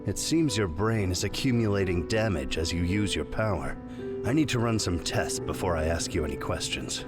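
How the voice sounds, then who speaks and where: Low-voiced, condescending research scientist. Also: male voice, animated, normal speed, over loud music. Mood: matter-of-fact. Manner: clinical, matter-of-fact, condescending. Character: detached, condescending middle-aged male research scientist at a hospital. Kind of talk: scripted video game cutscene acting.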